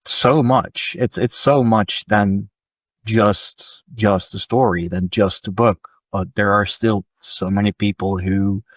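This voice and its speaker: A young man from the Netherlands hosts a podcast in a lowish voice. He speaks hesitantly, repeating words as he restarts his sentences. The sound is dry. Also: steady tone, slow pace, no room sound. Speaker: podcast host. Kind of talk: conversational interview.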